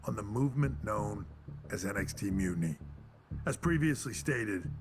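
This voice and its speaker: An actor playing a firm, measured wrestling executive making a formal, deliberate announcement in a video game. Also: male voice, on the low side, animated, noisy background. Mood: formal, resolute. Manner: deliberate, official.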